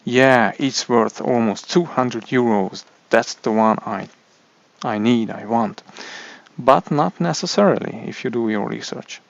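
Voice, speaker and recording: Hungarian-accented guy, slow and halting, gravelly, kinda muffled dry recording. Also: mid-range voice, no echo. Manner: halting, many restarts and fillers. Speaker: tech reviewer. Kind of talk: casual explainer monologue.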